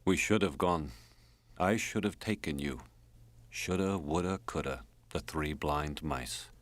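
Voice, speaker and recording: A man's voice: rumbling low, measured pace, voice pushed down. A man reading an audiobook as an earthy, wry American man reminiscing, speaking in clipped colloquial phrases with an American accent. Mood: rueful.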